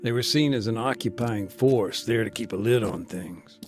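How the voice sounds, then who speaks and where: An actor playing a middle-aged, war-weary former peacekeeper, speaking plainly with military jargon and dry humor, in a game cutscene. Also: male voice, fairly low pitch, normal speed, natural voice. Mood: calm. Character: war-weary male ex-peacekeeper telling his story. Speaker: interviewee. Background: music.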